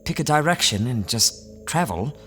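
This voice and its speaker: A man's voice: medium pitch, expressive, moderate pace, pushed and bright, big-room sound, soft background music. A man acting a fussy, nervous husband in an audio drama. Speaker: lead character, the explorer husband.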